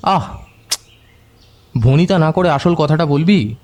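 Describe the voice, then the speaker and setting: A man's voice: on the high side, expressive, slow, darkish tone. A man playing a firm, probing amateur detective in an audio drama. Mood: impatient. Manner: firm, questioning, brusque. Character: Hrishikesh, decisive, probing male amateur detective. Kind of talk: Bengali audio drama acting.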